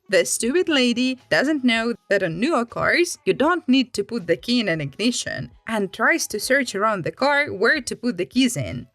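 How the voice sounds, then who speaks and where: Animated high-voiced woman reading stories. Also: no room sound. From Finland. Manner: dramatic, expressive. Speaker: storytelling channel host. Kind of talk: animated read-aloud storytelling. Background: background music.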